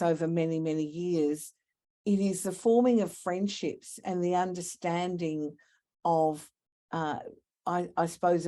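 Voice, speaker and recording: A woman's voice: on the low side, warm tone. A woman, speaking thoughtfully as a panel speaker, with many fillers and restarts. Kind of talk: panel discussion answer.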